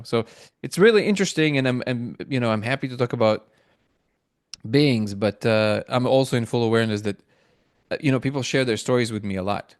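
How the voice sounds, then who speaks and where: A middle-aged man from Russia who later moved to Israel, answering reflectively as an interview guest, haltingly restarting phrases as he thinks aloud. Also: mid-range voice, no room sound, clean studio sound. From born in Moscow, Russia, moved to Israel.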